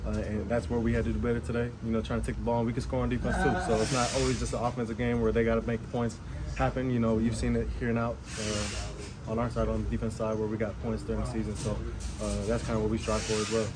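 A young man speaks quickly in a low, flat voice, pausing and restarting as he fights back emotion. He answers reporters into a cluster of handheld mics. Heavy locker room noise sits under every word. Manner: soft-spoken, emotional, many fillers and restarts. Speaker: football player. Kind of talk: post-game locker room interview. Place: stadium locker room, indoors. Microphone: several reporters' handheld mics. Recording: loud room noise behind.

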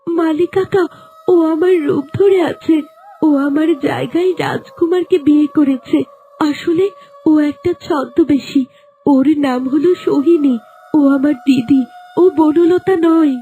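A woman playing a gentle, sad young princess in a cartoon fairy tale, plaintive and melodramatic. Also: high voice, unhurried, soft background music. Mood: tearful, earnest. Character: gentle, sorrowful young princess cursed into a plant.

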